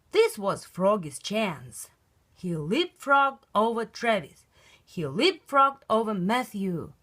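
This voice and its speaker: Slow, very expressive woman storyteller. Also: on the high side. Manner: expressive, emphatic. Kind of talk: animated children's picture book read-aloud.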